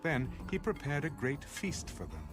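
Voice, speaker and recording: A man's voice: on the high side, huge pitch swings, moderate pace, over music. A man narrating scripture from a script in a dramatic audio Bible style.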